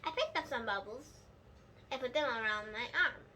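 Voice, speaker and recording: A woman's voice: a little high, moderate pace, sharp tone, a little hiss or hum. A female reader reading a children's book aloud, sometimes stumbling and rereading words. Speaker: storybook reader.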